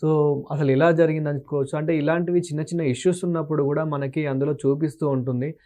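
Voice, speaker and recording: Questioning male interviewer, mellow tone. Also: a little high, steady tone, fast. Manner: short backchannel responses, questioning.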